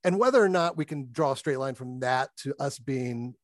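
A man's voice: mid-range voice, expressive, moderate pace, dry recording, studio quality. A man in his early fifties, co-hosting a podcast and putting questions in a casual, conversational way. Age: early 50s. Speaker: podcast co-host, interviewer.

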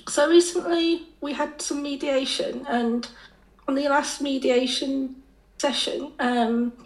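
High-voiced woman caller, croaky from being sick, halting, echoey line. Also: steady tone. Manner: halting, many pauses and fillers. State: croaky voice after illness. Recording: echoey call audio.

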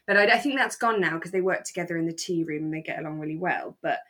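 A woman co-hosting a podcast, chatting casually in a reflective, gently hedging and agreeable way. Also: lowish voice, fast. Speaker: podcast co-host. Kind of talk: relaxed casual chat about a radio drama.

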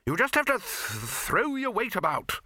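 A man's voice: high-pitched, wildly swooping pitch, moderate pace, bright, forceful tone, dry recording, clean studio sound, voice pushed up, heavily acted. A man voicing a domineering, impatient old wizard arch-chancellor with a blustering, demanding manner. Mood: barking.

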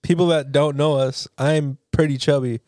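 A man's voice: on the high side, fairly even intonation, normal speed, darkish tone, no room sound, studio quality. A young man chatting casually as a podcast co-host in an informal, slangy and blunt way. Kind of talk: casual chat between friends.

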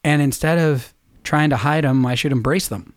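A man's voice: fairly high pitch, moderate pace, dry recording. Chatty middle-aged man, dry close sound. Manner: chatty, laughs easily, drifts into stories. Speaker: podcast co-host and musician. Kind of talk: casual chat.

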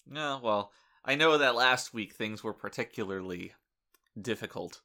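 A young man co-hosting a podcast, making dry deadpan jokes in casual chat and restarting his sentences often. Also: medium pitch, unhurried. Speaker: podcast co-host.

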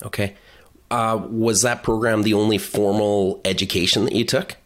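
A man's voice: fairly low pitch, steady tone, moderate pace, a bit bright. A man hosting a casual interview, putting questions in an easygoing, inquisitive, conversational way. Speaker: podcast host.

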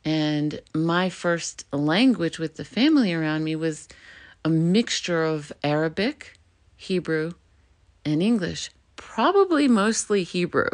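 Middle-aged woman, podcast host, solo reflective talk, slightly low voice, gets animated. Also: slow. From United States.

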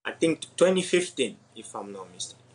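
A young man from Congo with French or Swahili as his first language, answering interview questions in a halting way with many pauses and laughs. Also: mid-range voice, very animated. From Democratic Republic of the Congo. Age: young adult. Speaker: MMA fighter guest.

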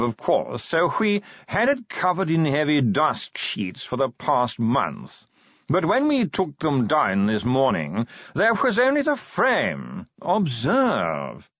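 A man's voice: on the high side, no room sound, voice pushed up, exaggerated voice. A man voicing a posh, fussy male art curator who drawls as if yawning. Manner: slow and plaintive. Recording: dull lo-fi recording.

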